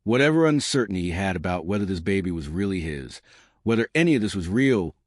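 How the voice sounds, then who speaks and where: A man's voice: sits low, sing-song, quick pace, no room sound, clean studio sound. A man narrating a scripted dramatic story as a suspenseful storyteller who speaks straight to the listener. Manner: suspenseful, addressing listeners directly.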